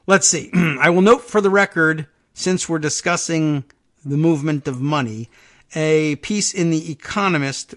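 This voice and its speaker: A man hosting a political talk show, reading news stories aloud with wry asides, halting on hard names and restarting often. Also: on the high side, fairly bright tone. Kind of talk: casual news commentary, reading headlines aloud.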